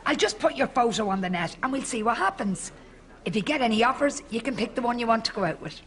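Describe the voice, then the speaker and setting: A woman's voice: on the high side, lively intonation, quick pace. A woman playing an exasperated, practical grown-up Irish daughter in a sitcom, earnest and insistent. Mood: encouraging. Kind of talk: live sitcom acting. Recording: noisy TV studio sound.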